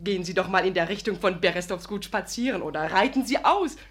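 A woman's voice: mid-range voice, animated, fast talker, close to an everyday voice. An actress playing a chatty, saucy young serf maid in a German radio drama, gushing and rambling as she talks. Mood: cheeky, eager. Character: cheeky, chatty young serf maid, loyal and fun-loving.

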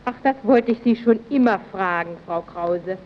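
An actress playing a curious, admiring housewife and neighbour in a scripted vintage radio advert, inquisitive and flattering. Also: female voice, mid-range voice, normal speed, natural voice. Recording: dull, noisy early radio recording.

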